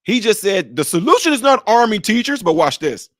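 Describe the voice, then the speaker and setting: A man with a high voice gives live-stream commentary on a clip he keeps pausing. He talks with emphatic, rhetorical energy. The sound is dry and close, with little room tone. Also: no echo. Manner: animated, emphatic, rhetorical questions. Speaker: commentator reacting to a video clip.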